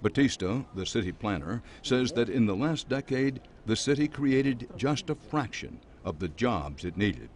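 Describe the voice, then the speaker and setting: A man reporting a documentary news story in a measured, scripted voice-over. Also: mid-range voice, lively intonation, darkish tone.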